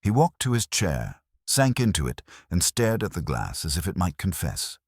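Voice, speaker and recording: A man's voice: low-pitched, big pitch swings, normal speed, slightly rough, dry recording, studio quality. A man reading a scripted first-person detective story as its narrator.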